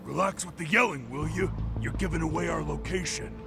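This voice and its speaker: A man's voice: fairly high pitch, fairly quick, vocal fry, over music. An actor playing an irritated, cautious man scolding a companion in a video game dub. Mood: annoyed. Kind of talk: dramatic video game voice acting.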